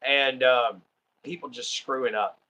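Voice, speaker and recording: A man's voice: mid-range voice, fairly even intonation, a little slow, sharp tone, low-quality recording. A man with a Texan accent from Southeast Texas, hosting a livestream in easygoing, rambling casual chat full of ums and uhs. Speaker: livestream host.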